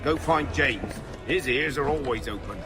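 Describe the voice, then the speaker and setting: A man voicing a grumbling ship's cook in a video game. His voice sits at a middling pitch, offhand and colloquial but helpful, with music clearly behind it. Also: animated, normal speed, close to an everyday voice.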